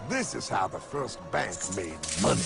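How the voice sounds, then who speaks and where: An actor playing a knowing cartoon storyteller, narrating the history of money from a script. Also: male voice, on the low side, big pitch swings, normal speed, gravelly, heavily acted. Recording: music behind the voice.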